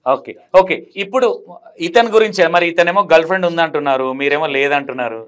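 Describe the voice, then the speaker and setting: A man's voice: on the high side, fast, a bit bright. A man hosting a casual phone interview, asking probing questions in a teasing, prodding manner. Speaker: interview host.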